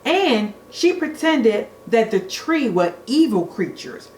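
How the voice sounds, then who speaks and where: A woman reading a children's storybook aloud in an expressive, deliberate way, like a teacher at storytime. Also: on the high side. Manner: expressive, deliberate, engages young listeners with questions. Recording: echoey room with faint noise.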